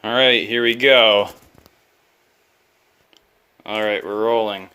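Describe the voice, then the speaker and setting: Male hobby repairer, lowish pitch, very unhurried pace, casual off-the-cuff narration, halting and musing. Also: fairly even intonation, sharp tone, clean tone. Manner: thinking aloud, musing and puzzled, halting. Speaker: hobbyist repairing a vintage radio on video. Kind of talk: casual unscripted workbench commentary.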